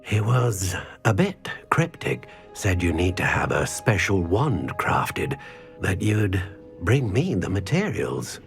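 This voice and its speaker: A courteous old wandmaker speaking with measured precision and a lively, rising lilt when something amazes him. His voice sits low, with soft game music underneath. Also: gravelly, exaggerated voice. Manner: measured, wondering, polite. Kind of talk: video game voice acting.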